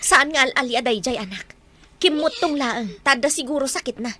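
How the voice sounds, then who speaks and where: A woman's voice: high voice, expressive, moderate pace, sharp tone. An Ilocano woman playing an anxious, protective mother in an audio drama. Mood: uneasy, hushed.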